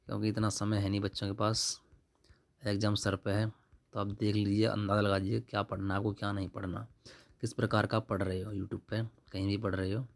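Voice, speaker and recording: Young guy, lowish monotone voice, casually running thru exam questions n answers. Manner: offhand, colloquial, addresses viewers as brother. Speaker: exam-prep YouTube tutor. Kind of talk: informal exam paper walkthrough, reading questions and answers.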